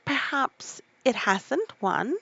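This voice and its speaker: A woman's voice: high-pitched, sing-song, very slow, gravelly, sharp tone, husky, highs cut off, pitched above the performer's own voice, exaggerated voice. A woman voicing a polite, curious little girl in a storybook read-aloud. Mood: timid, cautious. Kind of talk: sleepy read-aloud of a children's classic.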